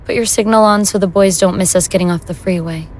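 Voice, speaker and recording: A woman's voice: medium pitch, fairly even intonation, quick pace, a little mellow, a little hiss or hum, close to an everyday voice. A woman playing a soothing, decisive investigator of the occult in an audio drama. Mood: calm, decisive.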